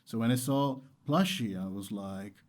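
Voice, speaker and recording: Male interview guest, young adult from Qatar. Lowish, relaxed tone, conversational storytelling with emphatic, heartfelt delivery. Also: moderate pace. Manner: earnest, enthusiastic, emphatic repetition. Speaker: interview guest, startup founder.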